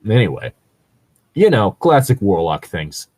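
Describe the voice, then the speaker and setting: Low husky dude reading gaming posts, wry and sweary, really lively delivery, chatty. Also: male voice, measured pace, no echo, studio quality. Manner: profane, wry, joking. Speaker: co-host reading online tabletop gaming horror stories. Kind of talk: casual banter mixed with reading posts aloud.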